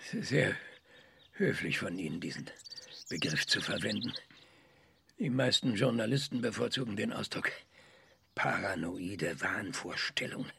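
Husky, sardonic maverick scientist. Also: male voice, fairly low pitch, lively intonation, unhurried, pauses often, sharp tone, exaggerated voice. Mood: wry, musing. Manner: hesitant, musing, with dry sarcasm. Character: cranky, ironic maverick male scientist, passionate about research. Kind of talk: radio drama acting.

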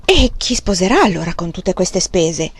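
A woman's voice: lowish voice, big pitch swings, normal speed, slightly rough, exaggerated voice. A woman reading the part of an eager, stubborn young male farmer with emphatic outbursts. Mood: wondering. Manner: emphatic exclamations.

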